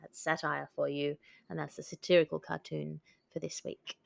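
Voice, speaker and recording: A woman's voice: deep voice, little pitch movement, moderate pace, gravelly. A woman hosting a comic magazine-style podcast in a wry, wordy, mock-formal way. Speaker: podcast host.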